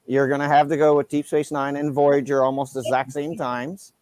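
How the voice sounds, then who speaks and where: A man's voice: fairly high pitch, level tone, a little fast, crowd noise. Man in his forties, confident explainer. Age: 40s. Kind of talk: casual chat.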